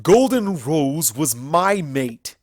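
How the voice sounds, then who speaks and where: A narrator voicing an angry, deluded male alicorn stallion in a story reading, shouting and incredulous. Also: medium pitch, big pitch swings, takes it slowly, no echo, clean studio sound, voice pushed up. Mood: confused anger.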